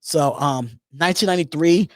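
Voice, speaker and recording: A man in his thirties hosting a live call-in show on his own, talking casually, repeating phrases and pausing on drawn-out ums while he reads out chat comments. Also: a little high, dry recording, clean studio sound. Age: 30s. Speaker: host.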